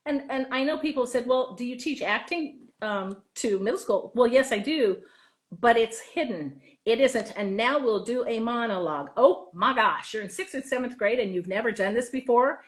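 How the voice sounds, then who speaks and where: Female interview guest, author-teacher type, higher voice, conversational and chatty. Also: moderate pace. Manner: chatty, acts out quoted dialogue. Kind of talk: animated casual interview talk.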